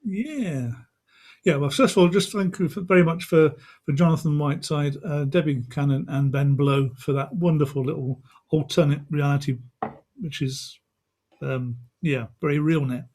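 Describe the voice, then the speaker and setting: A man's voice: fairly high pitch, normal speed, darkish tone. A man hosting an online event in an informal, chatty and rambling way. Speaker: event host. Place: indoors on a video call.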